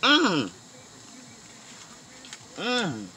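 A woman's voice: mid-range voice, wildly swooping pitch, extremely slow, pushed and bright, slight background noise. A middle-aged woman food vlogger chatting animatedly to viewers while eating in a car, recorded on a dashboard phone camera. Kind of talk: casual eating vlog. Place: inside a car.

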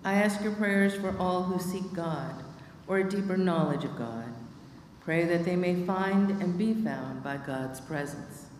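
Middle-aged woman, lay reader, low-ish voice, measured liturgical reading, distant mic in a reverberant church. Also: slight background noise. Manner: steady measured reading of names. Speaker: lay reader leading prayers. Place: church sanctuary at a lectern. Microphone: lectern microphone. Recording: echoey church from far off.

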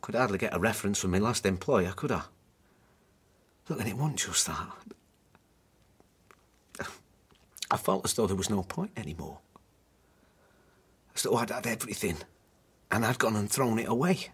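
A man acting in a radio drama as a weary, earnest husband who hesitantly justifies himself, his voice husky. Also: fairly low pitch, animated, fairly quick, pauses often, a bit bright, heavily acted. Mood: weary, regretful.

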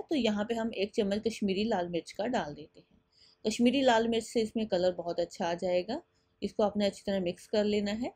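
Calm woman narrating a home recipe. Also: medium pitch, no echo, clean studio sound. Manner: patient, instructive, addresses viewers directly. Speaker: cooking channel host. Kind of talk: step-by-step recipe voice-over.

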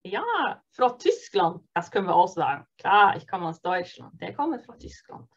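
A woman teaching an online beginner Norwegian course, cheerful and encouraging, modelling phrases slowly and clearly. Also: medium pitch, very animated, dull audio. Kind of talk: online beginner language lesson.

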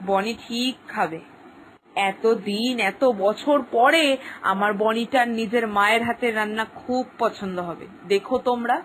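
A woman's voice: fairly high pitch, measured pace, fairly bright tone, slight background noise, low-quality recording. A woman playing a devoted, anxious village mother in a cartoon, earnest and plaintive. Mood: flustered, stubborn. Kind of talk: cartoon story acting.

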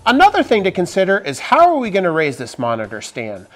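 A man's voice: sits high, huge pitch swings, fast talker, faint music behind. A man in his forties, a woodworking host, narrating a step-by-step tutorial in an upbeat, emphatic way in a large workshop on a lapel mic. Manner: upbeat, emphatic sentence openers. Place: large workshop with wooden walls.

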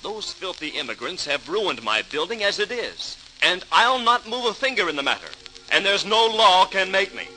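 A man's voice: high-pitched, sing-song, normal speed, pushed and bright, a little hiss or hum, full character voice, poor audio. A man acting in a 1940s radio drama as a callous, stubborn slum landlord with a curt, dismissive manner. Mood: scornful, defiant.

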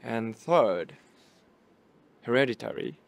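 A young man with Japanese as his first language, a guest in a festival interview, speaking halting English and searching for words. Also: medium pitch, expressive, gravelly. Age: young adult. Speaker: actor guest. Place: small room at a film festival. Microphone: handheld mic held by interviewer.

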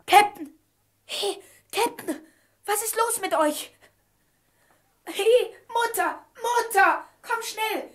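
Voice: very high voice, slow, long pauses, fairly bright tone, full character voice. A performer playing an eager, anxious young boy in an audio drama. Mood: anxious, urgent. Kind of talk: German audio drama acting.

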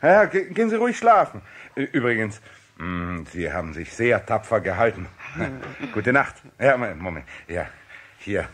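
A man's voice: fairly low pitch, big pitch swings, normal speed, fairly bright tone. A man playing a firm but good-humoured company director and father, emphatic and decisive, in a 1960s German children's radio play. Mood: kindly, hesitant.

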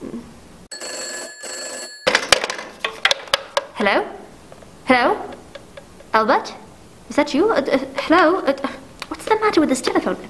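Woman playing a high-pitched, fretful invalid wife. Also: sing-song, poor audio. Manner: fretful, stammering, halting. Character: nervous, demanding bedridden invalid wife, querulous and imperious. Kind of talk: stage adaptation of a 1940s radio thriller. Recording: noticeable background noise.

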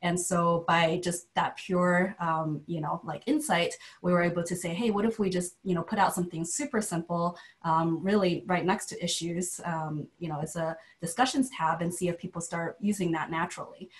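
Relaxed low-voiced woman panelist. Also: moderate pace, hardly any pauses. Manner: relaxed, many fillers, storytelling. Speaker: panelist, engineering leader. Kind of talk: video call panel discussion. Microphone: video call.

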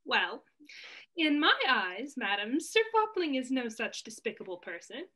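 A woman acts out a pert young lady's companion. Her voice is high and lively in pitch, provoking in the manner of Restoration stage comedy. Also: measured pace, heavily acted. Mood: teasing. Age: young adult. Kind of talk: Restoration comedy stage acting over video call. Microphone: video call.

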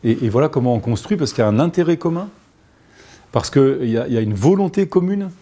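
Guy in his 50s, mayor in an interview, soft dark tone, kinda husky, emphatic. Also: medium pitch, expressive, fast talker. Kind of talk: spontaneous interview answer. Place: office or meeting room indoors.

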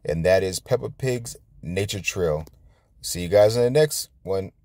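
A man reading a children's storybook aloud as a storytime host, expressively and with drawn-out words. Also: low voice, expressive, mellow. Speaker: storytime channel host and reader.

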